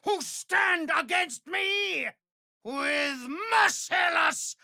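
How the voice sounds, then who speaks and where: A man's voice: extremely high pitch, expressive, very slow, vocal fry, sharp tone, no echo, raised voice, studio quality, exaggerated voice. An actor voicing a cruel, pompous male vampire lord in a video game, imperious and sneering in his delivery. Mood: exultant, grandiose. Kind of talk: theatrical video game villain acting.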